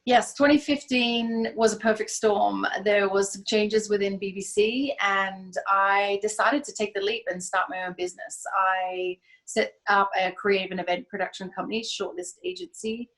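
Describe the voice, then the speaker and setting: A woman answering interview questions as a business founder, measured and reflective, sometimes restarting a phrase. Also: mid-range voice, steady tone, fairly bright tone, rough recording. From Australia. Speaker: guest, event company founder.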